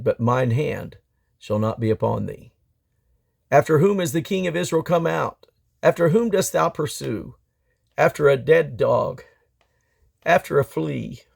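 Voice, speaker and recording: A man's voice: on the high side, gravelly, husky. A man reading the Bible aloud dramatically, with emphatic delivery of archaic phrasing. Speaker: scripture reader.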